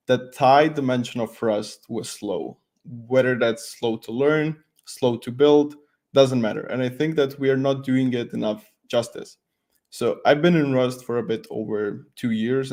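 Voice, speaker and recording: A man's voice: medium pitch, gravelly, a little mellow. A man, giving an informal tech talk with a light, self-deprecating humour. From Croatia. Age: 20s. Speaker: conference speaker, developer advocate. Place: at home, makeshift desk setup, remote video call.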